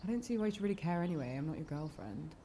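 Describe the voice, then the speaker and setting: A woman's voice: low voice, expressive, very fast, warm tone, slight background noise. A woman playing a guarded, unsentimental young woman in a naturalistic radio play, speaking in an offhand, colloquial way. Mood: defensive.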